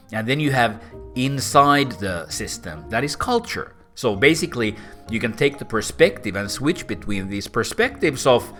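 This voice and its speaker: Finnish-accented male host, mid pitch, animated explainer, soft music underneath. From Finland. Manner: animated, swooping pitch, playful asides. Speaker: host and philosopher. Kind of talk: casual explainer talk to camera.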